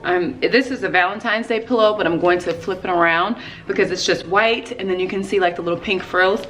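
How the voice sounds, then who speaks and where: A woman's voice: lowish voice, fairly quick, over music. A woman in her thirties greeting viewers in an upbeat, chatty way, filmed at home with a camera on a tripod. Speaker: home decor vlogger. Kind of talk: casual on-camera vlog talk. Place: bright room at home, indoors. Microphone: camera on a tripod at a short distance. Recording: echoey room sound.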